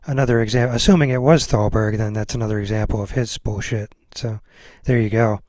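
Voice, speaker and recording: A man's voice: lowish voice, steady tone, brisk, a little mellow, dry recording, clean studio sound. A man hosting a podcast, chatting casually with his guest in a conversational, affirming way. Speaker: podcast host interviewing a guest. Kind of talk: casual interview chat.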